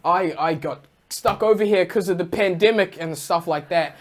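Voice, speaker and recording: A man voicing a young man pretending to be a foreign student, using a deliberately clumsy put-on accent. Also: sits high, lightly acted. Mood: mock earnest.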